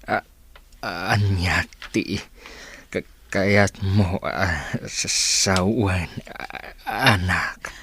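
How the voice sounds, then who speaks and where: Man acting a very slow, halting father. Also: deep voice, a bit bright, a little hiss or hum, heavily acted. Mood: weak, gentle. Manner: halting, stammering speech. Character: frail, slow-spoken, gentle old father. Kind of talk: radio drama acting.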